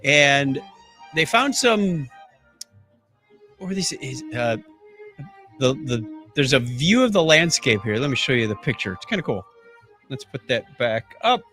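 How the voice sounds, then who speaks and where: A man's voice: mid-range voice, very animated, a little slow, long pauses, sharp tone, faint music behind. A man hosting a podcast in a casual, jokey, conversational way. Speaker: podcast host.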